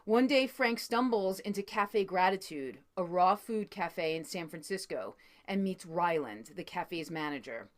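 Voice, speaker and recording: Earnest low-voiced New York woman host. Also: animated, a little slow, hardly any pauses. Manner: earnest, effusive, with many fillers. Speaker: podcast host. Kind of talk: interview introduction and chat.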